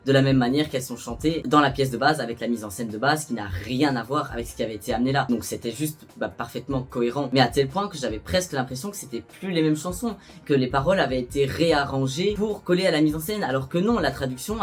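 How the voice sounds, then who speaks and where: A young man giving a casual, chatty review of a musical, animated and self-mocking. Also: mid-range voice. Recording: soft background music.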